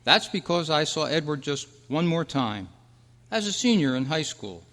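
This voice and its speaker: A man's voice: on the high side, very animated, normal speed, fairly bright tone. Man acting a candid young man, echoey hall. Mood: casual, candid. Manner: casual, hesitant, slangy. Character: candid, regretful young man, former boy grown up. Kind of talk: old-time radio style stage acting. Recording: echoey hall at a club meeting.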